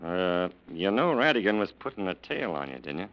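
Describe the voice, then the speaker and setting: An actor playing a hard-boiled, dryly casual investigator in a 1940s radio crime drama, on an old recording. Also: male voice, low voice, very animated, brisk, lo-fi sound. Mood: wry, cool. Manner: wry, laconic.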